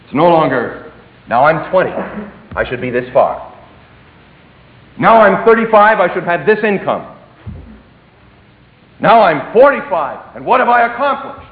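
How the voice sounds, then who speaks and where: Male preacher, high and very animated voice, emphatic with sudden hushed drops, echoey hall. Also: unhurried, pauses often. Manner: emphatic, dramatic swings between loud and hushed delivery. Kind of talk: sermon. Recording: echoey hall, tinny phone-like sound.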